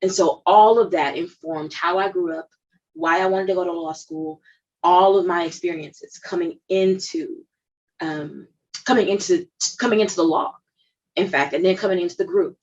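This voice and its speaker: A woman lawyer from Dallas speaking on a panel about her upbringing, measured and personal, with fillers and restarts. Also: lowish voice, a little mellow, highs cut off. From Dallas, Texas. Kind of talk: panel discussion.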